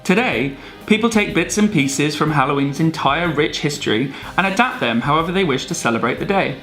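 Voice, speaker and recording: A man's voice: fairly high pitch, lively intonation, fairly quick, a bit bright, soft background music. A man co-presenting a scripted explainer with comic banter, in a jokey, theatrical manner. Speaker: video co-presenter.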